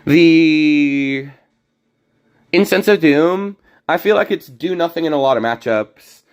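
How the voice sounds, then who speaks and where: A young man with a fairly high voice and a slightly hoarse throat chats his way through a card game on stream. He rambles, cuts himself off and restarts his sentences as he explains his plays. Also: takes it slowly. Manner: rambling, self-correcting. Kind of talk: casual gameplay commentary.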